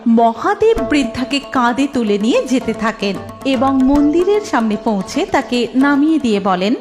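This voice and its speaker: A woman narrating a cartoon story in an even storytelling manner. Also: sits high, moderate pace, faint music behind.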